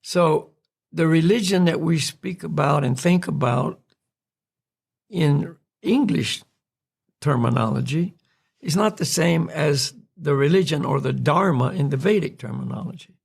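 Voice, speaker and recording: An American man from North Carolina, a podcast host calmly explaining spiritual ideas in a reflective, unhurried way. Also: on the high side, creaky, husky. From North Carolina, USA. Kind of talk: spiritual discussion.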